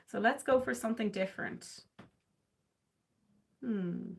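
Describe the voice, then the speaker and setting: A woman's voice: mid-range voice, slow pace, creaky, breathy edge. A woman in her thirties from southern Ireland with an Irish accent, chatting casually while she does her makeup and answers viewers. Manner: chatty and rambling, with frequent "like" and "um"; coos at her cat. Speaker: beauty livestreamer. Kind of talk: casual chat while doing makeup, reading viewer comments. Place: bedroom at home, indoors. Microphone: webcam or phone camera at close range.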